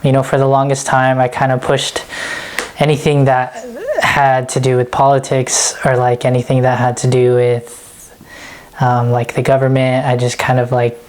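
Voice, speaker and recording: A man's voice: mid-range voice, completely flat delivery, normal speed, darkish tone. A young man from San Jose, California, speaking in a laid-back, hesitant way with many fillers. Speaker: first-time voter interviewee.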